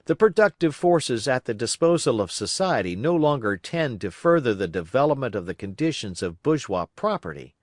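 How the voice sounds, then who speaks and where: A man reading a political text aloud as scripted audiobook narration, in measured, emphatic, oratorical phrasing. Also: mid-range voice, sing-song, no room sound, clean studio sound. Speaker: audiobook reader.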